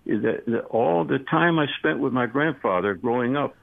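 A man of eighty calling in to a radio show, speaking in a halting, reflective way with many fillers. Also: mid-range voice, lively intonation, creaky. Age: 80s. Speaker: caller. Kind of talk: call-in radio chat. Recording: phone line.

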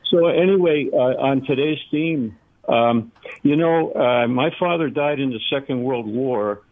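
A man of eighty calling in to a radio show, speaking in a halting, reflective way with many fillers. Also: mid-range voice. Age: 80s. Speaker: caller. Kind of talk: call-in radio chat. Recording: phone line.

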